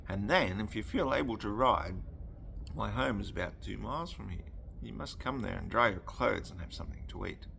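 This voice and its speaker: A man's voice: lowish voice, lively intonation, moderate pace, vocal fry, pushed and bright, slight background noise, heavily acted. A man voicing a polite young country boy in a storybook, anxious and eager to help. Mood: anxious, kind.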